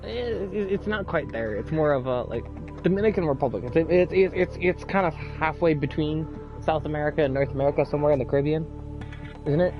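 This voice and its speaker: A man's voice: a little high, fairly quick, highs cut off. Male game streamer, loose chatty delivery, trips over words, faint music under him. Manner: stammers and repeats words, lots of fillers. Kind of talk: casual live-stream chat with party friends.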